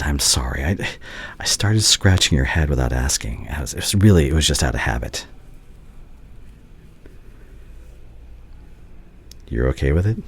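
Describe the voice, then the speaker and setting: A man playing a devoted, regretful doctor and anxious ex-boyfriend in an intimate ASMR audio roleplay, speaking haltingly and tenderly. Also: rumbling low, breathy edge, full character voice. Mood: apologetic, soft.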